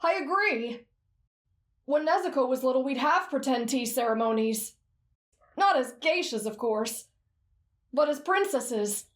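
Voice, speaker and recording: A woman's voice: sits high, animated, measured pace, pauses often. A woman doing earnest, kind teenage boy. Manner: sincere and polite. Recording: roomy echo.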